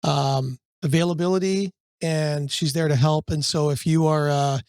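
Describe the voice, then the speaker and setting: A man co-hosting a real estate brokerage podcast in a casual chat, rambling with fillers, his voice a little hoarse from a cold. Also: fairly high pitch, fairly even intonation, normal speed, darkish tone, no echo, clean studio sound. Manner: rambling, searching for words, many fillers.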